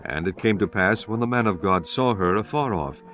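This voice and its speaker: A man's voice: lowish voice, lively intonation, normal speed, a bit bright, faint music behind. A man reading solemn, scripted scripture narration for a dramatized audiobook.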